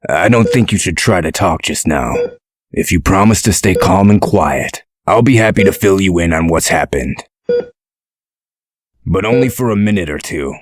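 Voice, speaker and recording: A man playing a gruff, protective male character in a comic dub, speaking in a measured, dry, explanatory way. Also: deep voice, lively intonation. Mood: calm, explanatory.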